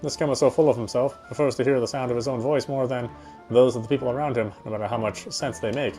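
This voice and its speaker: Male gamer-narrator, medium pitch, dramatic read-aloud delivery, prominent game music underneath. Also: steady tone, fast, mellow.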